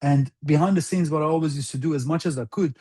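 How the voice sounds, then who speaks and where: Mellow, halting man recalling his past. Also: a little high, breathy edge. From outside Iran. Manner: halting, deliberate, repeats phrases for emphasis. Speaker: interview guest, former national football team interpreter. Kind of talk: earnest interview recollection.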